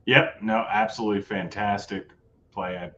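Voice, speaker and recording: A man's voice: fairly low pitch, fairly even intonation, moderate pace, a bit bright, dull audio, a little hiss or hum. A man hosting a casual sports betting show, talking through his picks in a laid-back way, his voice a bit worn. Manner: laid-back, rattles off odds. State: losing his voice.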